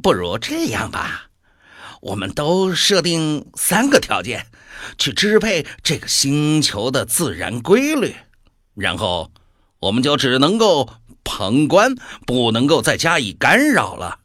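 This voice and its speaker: A male actor as a jaded devil from a radio play who scorns life. He draws out his words slowly and theatrically, with wide swings of pitch, in a dry, close recording. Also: huge pitch swings, fairly bright tone, no echo, full character voice. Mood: sly, scheming. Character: mocking, bored male devil who favours death. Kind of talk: audio drama acting.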